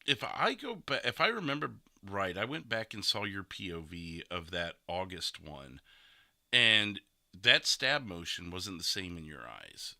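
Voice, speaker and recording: Very bright, animated man chatting. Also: sits low, unhurried, no echo, clean studio sound. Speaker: podcast host and roleplay streamer. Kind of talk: casual podcast chat, reviewing gameplay.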